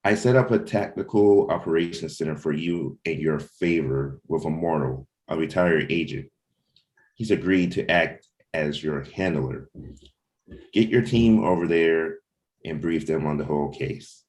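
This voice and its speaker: A stern superior voiced by a man gives orders in a very low voice. He is commanding and judging, as in a comic-book drama read. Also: a little slow, darkish tone, roomy sound.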